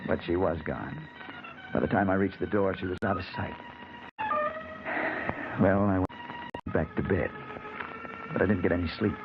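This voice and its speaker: A man's voice: low voice, normal speed, long pauses, lo-fi sound, loud music behind the voice. An actor playing a troubled, earnest hardboiled investigator in an old-time radio noir, brooding and clipped in his delivery. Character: troubled, lovestruck hardboiled investigator narrating his own case. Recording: very noisy, band-limited old radio recording.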